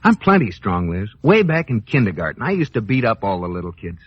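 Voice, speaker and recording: An actor playing a jealous, insecure and boastful banker husband in an old radio comedy, hesitant and blustering. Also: male voice, lowish voice, big pitch swings, a little fast. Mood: needy. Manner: hesitant, blustering, exaggerated reactions. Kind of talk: 1940s-50s radio comedy acting. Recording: muffled, hissy vintage radio recording.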